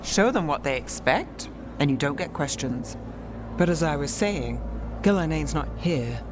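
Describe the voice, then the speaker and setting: An actress playing a sardonic human mage in a fantasy game, with a dry, imperious manner. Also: female voice, low-pitched, expressive, a little slow, noisy background. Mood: dry, composed. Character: sardonic human female mage detective, haughty when needed.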